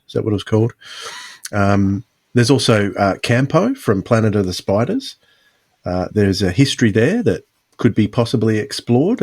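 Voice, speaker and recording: A man's voice: fairly low pitch, a little mellow, slightly rough, dry recording, studio quality. A man hosting a podcast in a casual, conversational style. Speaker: podcast host.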